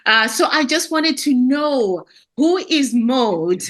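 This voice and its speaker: A woman hosting an interview, chatty and quick to laugh. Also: high-pitched, lively intonation, a bit bright. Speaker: host. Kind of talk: casual interview.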